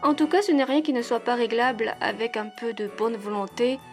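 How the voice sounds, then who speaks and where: A woman's voice: fairly high pitch, fairly even intonation, a little fast, soft background music, lightly acted. A woman playing a gracious, effusive steampunk duchess in a French audio roleplay, with refined, airy, polite delivery. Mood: explanatory, reassuring.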